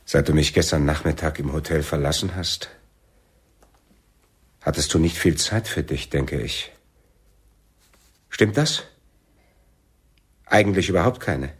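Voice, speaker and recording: A man playing a wary, suspicious militant leader in a German radio play, husky and measured in his questioning. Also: extremely low pitch, heavily acted. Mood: suspicious, probing. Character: wary, soft-spoken male Palestinian militant leader, suspicious lover.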